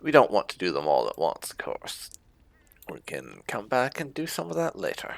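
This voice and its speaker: A man's voice: on the low side, huge pitch swings, normal speed, vocal fry, no echo. A man hosting a video game playthrough with casual commentary, musing to himself as he goes.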